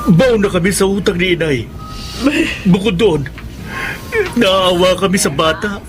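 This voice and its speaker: An actor with a Filipino accent playing a guilty, solemn elder brother in a radio drama, speaking in a measured, confessional way. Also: male voice, on the high side, lightly acted. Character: guilty, grave elder brother explaining himself.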